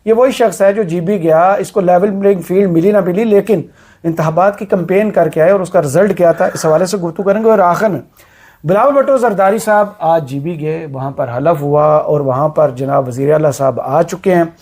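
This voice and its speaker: A man hosts a political talk show, and his voice sits high for a man. He talks in a conversational way, with a sarcastic edge as he puts his questions. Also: a little fast, darkish tone. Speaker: host.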